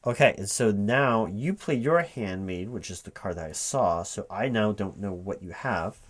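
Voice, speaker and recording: A man in a spoken, unwhispered ASMR roleplay, talking casually and haltingly to an unheard partner as if puzzling things out aloud. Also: fairly low pitch. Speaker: recruiting manager interviewing a gamer in a one-sided roleplay. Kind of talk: spoken ASMR roleplay, casual one-sided conversation, not whispered.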